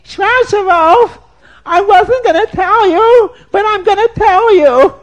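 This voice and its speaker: A man voicing a shrill, panicky woman who shrieks warnings in a drawn-out way. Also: muffled recording. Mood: anxious. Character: shrill frantic woman, a fierce man's wife.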